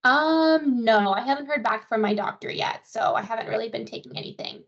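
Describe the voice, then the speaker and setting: High-voiced woman interviewee, slightly muffled audio. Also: fairly quick, vocal fry, a bit bright. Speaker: patient answering a survey interview. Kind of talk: conversational short answers. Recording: dull, lo-fi phone call audio.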